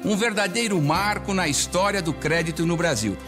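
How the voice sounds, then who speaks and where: A man's voice: high voice, normal speed. A man with a Brazilian accent delivers a scripted promotional message as a company spokesman. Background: music bed.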